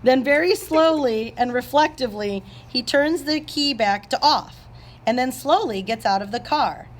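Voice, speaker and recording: A woman's voice: sits high, moderate pace. A woman narrator reading scripted stage directions aloud.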